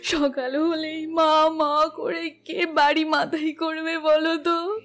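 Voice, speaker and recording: An actress playing a heartbroken middle-aged wife and mother in an audio story, crying and wailing in drawn-out phrases. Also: sits high, flat delivery, a bit bright, faint music behind. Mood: sobbing, distraught.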